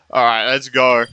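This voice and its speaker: A man's voice: mid-range voice, pushed and bright, clear, resonant tone, no room sound, highs cut off. A man giving casual, irreverent gameplay commentary as a streamer, reacting off the cuff. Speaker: gaming streamer.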